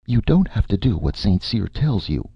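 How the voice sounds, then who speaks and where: A man voicing a forceful woman in a story, urgent and insistent. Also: sits low, big pitch swings, moderate pace, slightly rough, dry recording, muffled recording, voice pushed up, exaggerated voice.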